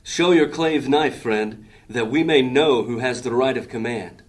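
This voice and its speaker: A man's voice: mid-range voice, unhurried, close to an everyday voice. A man playing a formal, proud thieves' leader. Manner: formal, ceremonious. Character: formal male thief gang leader, proud. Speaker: actor. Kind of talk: audio drama acting.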